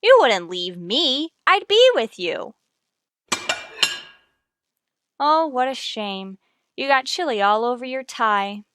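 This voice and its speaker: An American actress playing a wry, sardonic schoolteacher with dry delivery in a vintage radio sitcom. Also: female voice, fairly high pitch, sing-song, a little slow, noisy background. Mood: dry, coaxing.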